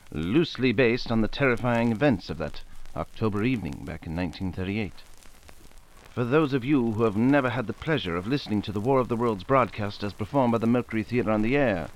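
A man with a fairly low voice addresses the listeners formally. He reads a scripted announcement in the style of old-time radio, as the director of the production. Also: lively intonation, fairly quick, poor audio.

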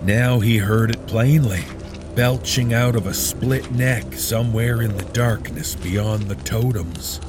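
A man narrating a horror story in a measured, grave, ominous delivery. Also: mid-range voice. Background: background music.

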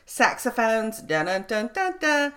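A woman in her forties co-hosting a podcast, telling stories in an animated, laughing way. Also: a little high, a little slow, over a noisy crowd. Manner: animated storyteller, laughs often. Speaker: podcast co-host. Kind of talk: casual chat.

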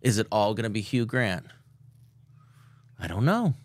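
Joking, lively-pitched male co-host. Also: a little slow, no room sound. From Nebraska. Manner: joking, stumbling restarts, playful. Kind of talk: casual chat.

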